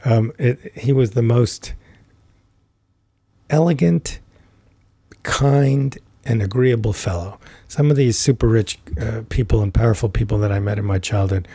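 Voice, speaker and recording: A middle-aged man guesting on a podcast, telling a reflective story in an unhurried, thoughtful way with many fillers and restarts. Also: mid-range voice, dark tone, breathy edge, dry recording. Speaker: podcast guest, tai chi teacher.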